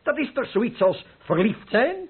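An actor playing a cheerful, carefree older painter who philosophizes persuasively in a radio play. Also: male voice, extremely high pitch, big pitch swings, a little slow, breathy edge, heavily acted. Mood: playful, passionate. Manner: exuberant, persuasive, rhetorical. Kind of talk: Dutch radio play acting. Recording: muffled old radio play recording.

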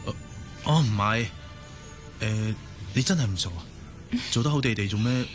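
A man's voice: fairly low pitch, normal speed, faint music behind, lightly acted. A man acting in a Hong Kong Cantonese radio drama as an earnest young hospital doctor who is evasive and hesitant. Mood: flustered. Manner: hesitant, stammering.

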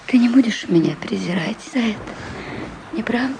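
A woman's voice: fairly high pitch, animated, moderate pace, gravelly, background noise. A woman acting a tender, fragile married woman suffering from love, with emotional delivery in a Russian radio drama. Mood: anguished, tender.

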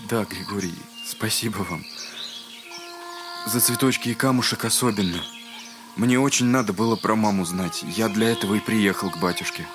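A man plays a gentle young believer in an audio drama. His voice sits fairly low and stays soft. He speaks with humble, earnest sincerity. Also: moderate pace, music in the background, natural voice. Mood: grateful. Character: gentle, devout, earnest twenty-year-old son. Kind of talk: Russian audio drama acting.

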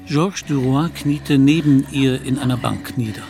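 A male narrator reads scripted literary prose for a radio play. His voice sits at a medium pitch, with some music behind it. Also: measured pace, vocal fry.

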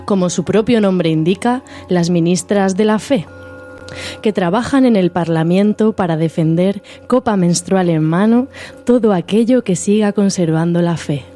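A woman's voice: mid-range voice, moderate pace, warm tone, faint music behind. A woman hosting a radio segment, speaking in an informal, unforced way. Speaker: segment host and writer. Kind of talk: radio talk and satirical scripted narration.

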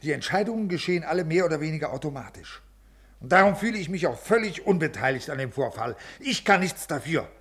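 An actor playing a rigid, defensive senior official in a 1950s German radio play, with a stiff, formal, officious manner. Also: male voice, a little high, expressive, quick pace, a bit bright. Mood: cold, self-justifying.

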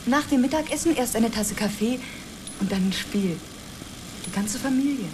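Actress as excitable woman space traveller, coaxing, very animated intonation, radio play, engine noise behind. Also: fairly high pitch, moderate pace, lightly acted. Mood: coaxing, chatty. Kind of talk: radio drama acting. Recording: clearly noisy engine-like ambience behind.

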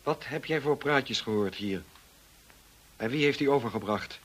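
An actor playing a moody, brusque gentleman husband in a radio drama. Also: medium pitch, moderate pace, breathy edge, close to an everyday voice. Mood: irritated. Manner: curt, brusque. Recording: hissy radio drama recording.

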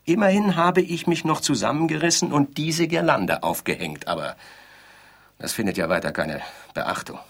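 Pompous, touchy bridegroom. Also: male voice, fairly high pitch, animated, normal speed, vocal fry, fairly bright tone, heavily acted. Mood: huffy, pedantic. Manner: pedantic, stilted, over-elaborate phrasing. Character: pompous, touchy middle-class bridegroom on his wedding night. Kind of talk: theatrical radio drama dialogue.

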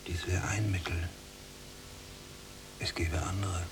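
An actor playing a watchful, coolly domineering younger prisoner in a radio drama, speaking in measured, musing phrases. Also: male voice, low-pitched, little pitch movement. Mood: coolly menacing. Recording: distant mic, noisy hum.

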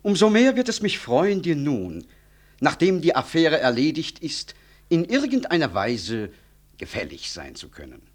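A smooth, self-important Excellency in a 1960s radio drama. The voice is fairly high and swoops dramatically through formal, oratorical sentences. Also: male voice, huge pitch swings, unhurried, heavily acted. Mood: formal, condescending. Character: pompous, smooth-talking government minister, an Excellency. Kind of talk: 1960s German radio play acting.

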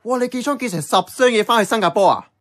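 A man's voice: high voice, lively intonation, fast, a bit bright. An actor with a Hong Kong Cantonese accent playing a casual, blunt young man in radio drama dialogue. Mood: blunt, exasperated.

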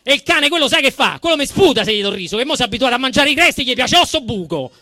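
A man's voice: very high-pitched, very animated, very fast, sharp tone, no echo, voice pushed up, full character voice. A man voicing an indignant, crude, food-obsessed Italian everyman in a comic monologue, with a Roman accent and blunt, aggrieved retorts.

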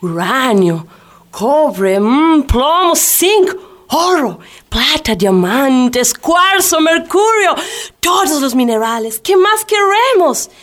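An actress playing an excitable, nosy woman in a radio play. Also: sits high, slow, heavily acted. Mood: excited, greedy.

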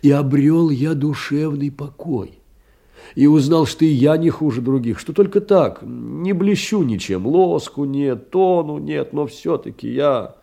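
An actor playing a humble, downtrodden poor man in an audio play, doting and emotional, confessing in halting, self-abasing phrases. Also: fairly high pitch, gravelly, warm tone, heavily acted. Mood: impassioned.

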